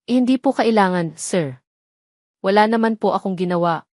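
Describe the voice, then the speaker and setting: A woman's voice: mid-range voice, moderate pace, darkish tone, no echo, clean studio sound, natural voice. Woman voicing a shy young village woman. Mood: timid refusal. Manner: deferential, hesitant.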